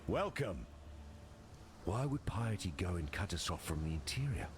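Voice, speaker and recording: Deep, wry camp guard. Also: male voice, sing-song, normal speed, slight background noise. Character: wry, blunt male camp guard in dark fantasy. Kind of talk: video game character dialogue. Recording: music behind the voice.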